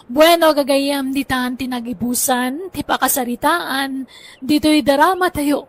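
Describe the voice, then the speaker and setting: High-voiced woman narrating drama credits. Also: unhurried.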